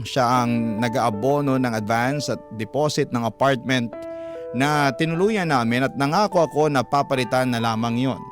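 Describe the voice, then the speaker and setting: An actor reading a letter in the first person as a weary young man recounting his hardships, in a measured, radio-style narration. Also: medium pitch, lightly acted. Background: music.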